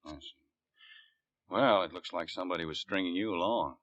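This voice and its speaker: An American man playing a world-weary police captain in a 1940s radio drama, narrating and questioning in a measured, dry way. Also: low voice, fairly bright tone, no echo. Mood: probing, controlled. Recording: dull restored 1940s radio recording, music.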